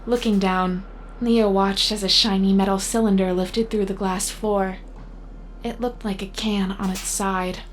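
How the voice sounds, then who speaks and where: Female narrator, unhurried pace, medium pitch, descriptive storytelling, music underneath. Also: fairly even intonation, a little hiss or hum. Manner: measured, descriptive reading.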